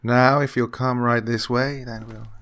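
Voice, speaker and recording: An actor playing an unctuous, scheming gentleman employer who feigns kindly absent-mindedness, fussy and repetitive, in an old-time radio horror drama style. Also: medium pitch, level tone, measured pace, slight background noise. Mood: fussily welcoming. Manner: fussy, hesitant, repeats himself, chuckling.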